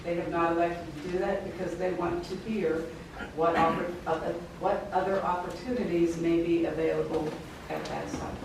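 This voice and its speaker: A woman city planning official answering questions at a public meeting in a measured, diplomatic way. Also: lowish voice, steady tone, creaky, background noise. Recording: echoey hall picked up from far off.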